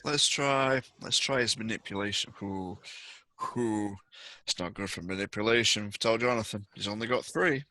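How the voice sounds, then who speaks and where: A man's voice: on the low side, normal speed, sharp tone, no echo. A man narrating a tabletop game as game master.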